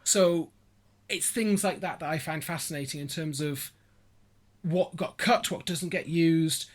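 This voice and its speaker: Guy with a fairly high voice, chatting on a podcast, likes explaining the details. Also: a little slow. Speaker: podcast guest. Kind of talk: casual chat.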